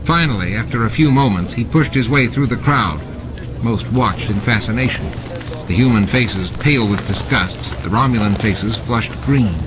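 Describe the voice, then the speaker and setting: A man's voice: on the low side, normal speed, background noise. A man reading a novel aloud as scripted, dramatic audiobook narration. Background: music and sound effects added to the reading.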